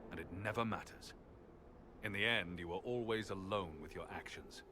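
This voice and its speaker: Guy doing a grim cynical fighter, lowish voice, noisy game mix. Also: normal speed, close to an everyday voice.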